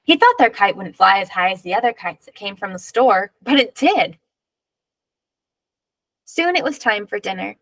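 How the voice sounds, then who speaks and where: Creaky-voiced woman reading a picture book. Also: medium pitch, sing-song, normal speed, pauses often, a bit bright, no room sound. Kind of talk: expressive read-aloud narration.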